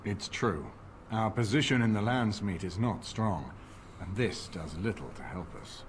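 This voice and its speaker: A man playing a shrewd older nobleman in a fantasy video game, courtly and deliberate, with a wry, cautious manner. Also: on the low side, expressive. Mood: grave, reproving. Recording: game audio with clear background noise.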